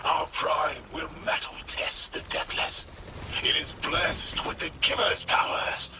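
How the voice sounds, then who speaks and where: An actor playing a fanatical alien religious leader in a video game, with a harsh breathy rasp and grandiose, cryptic, broken speech. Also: male voice, slow pace, very noisy, close to an everyday voice. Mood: menacing zeal. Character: fanatical alien Archon, prophet-leader of an exiled house. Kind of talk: video game villain acting.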